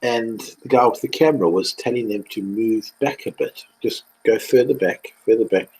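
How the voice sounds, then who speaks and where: A man's voice: on the low side, normal speed, breathy edge, poor audio. A man with a South African accent, telling stories in a casual podcast chat and laughing often. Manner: animated storyteller, laughs often. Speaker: co-host.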